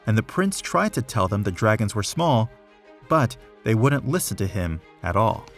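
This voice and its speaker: A man reading a rhyming children's story aloud, in a measured, rhythmic storytelling way with clear emphasis. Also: fairly low pitch, big pitch swings, soft background music. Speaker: storybook reader.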